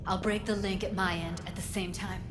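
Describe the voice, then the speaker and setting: A woman's voice: mid-range voice, steady tone, fairly quick, background noise, natural voice. An actress playing a guarded, blunt young woman who is a tech expert in a video game. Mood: focused, tense. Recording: music behind the voice.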